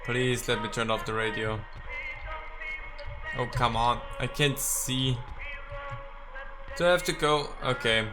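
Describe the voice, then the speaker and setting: A man giving casual let's play commentary as a gaming video host, haltingly thinking out loud as he goes. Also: medium pitch, steady tone, music in the background.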